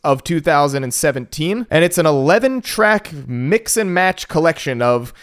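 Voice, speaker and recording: Male co-host, fairly high and smooth, loose joking delivery with lots of fillers. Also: animated, moderate pace, fairly bright tone. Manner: rambling, joking, frequent fillers. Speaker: podcast co-host. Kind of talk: casual podcast chat.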